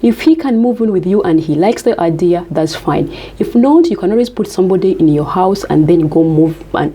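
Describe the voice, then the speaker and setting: A woman's voice: fairly low pitch, mellow, breathy edge. A woman from Uganda, giving earnest, emphatic life advice to camera and repeating her key points.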